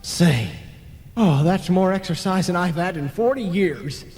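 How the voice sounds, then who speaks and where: A jovial older ghost with plenty of bluster. His fairly high voice swings up and down and takes its time. It has the style of a vintage radio comedy, recorded with a long reverberant tail. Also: expressive, unhurried, darkish tone, faint music behind. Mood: cheerful, easygoing. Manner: hearty, blustering. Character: jovial, bold older man, newly made ghost. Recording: reverberant radio play on analogue tape.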